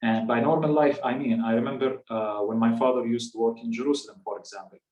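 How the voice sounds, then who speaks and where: A man in his thirties with a Palestinian accent, a native Arabic speaker, telling a personal story in a measured, halting way. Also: medium pitch, fairly even intonation, mellow, muffled recording. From West Bank, Palestinian territories. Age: 30s. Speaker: guest speaker. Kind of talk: personal storytelling in a public talk. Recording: echoey room, distant microphone.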